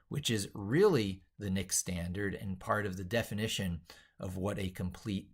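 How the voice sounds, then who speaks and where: A man thinking aloud as he drafts an opinionated tech piece, halting, repeating and reworking his phrases with emphatic points. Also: lowish voice. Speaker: tech educator and video creator.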